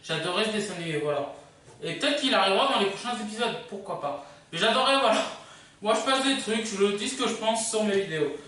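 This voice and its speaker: The voice comes through with heavy room echo, a little far from the microphone. It belongs to a video host with very animated pitch, talking off the cuff in a hesitant, rambling way. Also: fast talker. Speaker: video host presenting a countdown. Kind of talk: casual unscripted presenting to camera. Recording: echoey room, distant microphone.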